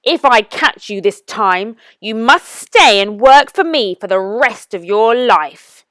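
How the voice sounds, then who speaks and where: A menacing, bad-tempered wizard from a children's storybook, acted out by a woman. He gloats theatrically, drawing words out slowly, with big swoops in pitch, in a close, dry recording. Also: animated, a bit bright, no echo. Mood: gloating. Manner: theatrical, menacing, drawn-out delivery.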